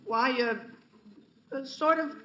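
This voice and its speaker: An actor playing an earnest, scheming, awkward teenage schoolboy in old radio comedy style, with a hesitant, stammering delivery. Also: male voice, very high voice, slow. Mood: hesitant, persuasive. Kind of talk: 1940s radio comedy acting. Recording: roomy old-time radio recording, faint hiss.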